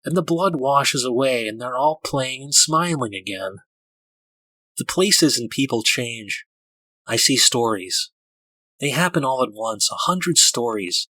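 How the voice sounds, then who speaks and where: Man voicing a bright-toned, frightened, awestruck child. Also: mid-range voice, animated, measured pace, no room sound, poor audio. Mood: dazed wonder. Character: frightened, awestruck child having a hallucinatory vision. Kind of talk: dramatic first-person audiobook narration.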